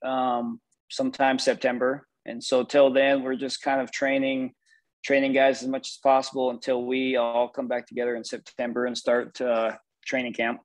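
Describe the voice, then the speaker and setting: Middle aged guy, steady flat delivery, dry sound, talks it through calm and reflective. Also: medium pitch, no room sound. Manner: measured, reflective, many "you know" fillers. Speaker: sports performance executive, guest. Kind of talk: casual interview over a call.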